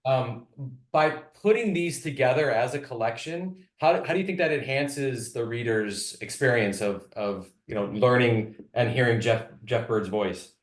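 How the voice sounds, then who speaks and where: A man's voice: mid-range voice, unhurried, few pauses, roomy sound. A middle-aged man hosting a literary interview in an earnest, encouraging way.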